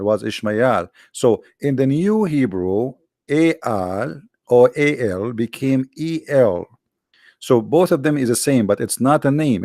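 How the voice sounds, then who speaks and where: A man whose first language is Arabic, lecturing combatively over on-screen text, with many halting fillers and slow, drawn-out stress on key words. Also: medium pitch, darkish tone, no echo, clean studio sound. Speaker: religious commentator.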